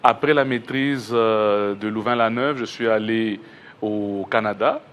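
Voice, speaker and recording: A middle-aged man from Kinshasa with a Québec-tinged French accent, a researcher answering interview questions in a measured, modest way in a TV studio. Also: lowish voice, a bit bright, a little hiss or hum. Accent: Québécois-tinged French. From Kinshasa, Congo. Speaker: education researcher, guest.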